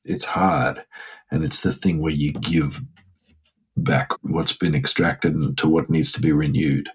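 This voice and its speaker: A man, a scholar explaining ideas thoughtfully, pausing and restarting as he searches for words. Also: rumbling low, slightly rough. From Australia. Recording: faint music underneath.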